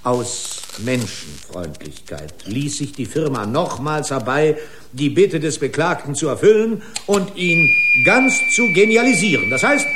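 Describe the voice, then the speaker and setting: An actor playing an indignant, officious company lawyer pleading in court with pompous, long-winded legalese in a radio drama. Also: male voice, a little high, noisy background. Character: indignant, officious corporate attorney arguing in court. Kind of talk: German radio drama acting.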